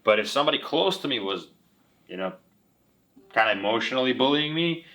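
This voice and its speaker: Young man, 20s, chatty, lots of fillers, lively pitch, slightly distant from the mic. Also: brisk. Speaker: interview participant. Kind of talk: casual group discussion.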